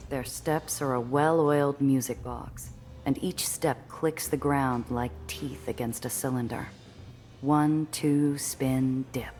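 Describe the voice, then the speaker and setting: A woman's voice: very deep voice, fairly even intonation, slow, darkish tone, faint music behind. A woman voicing a cool, observant heroine, narrating her own story in a scripted, wry way for a video game. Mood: composed. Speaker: narrator and protagonist.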